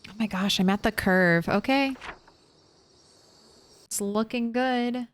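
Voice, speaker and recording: A woman's voice: on the high side, slow pace, a little hiss or hum. A young adult woman streaming a game, chatting casually, thinking aloud and laughing often. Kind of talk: casual chat while gaming.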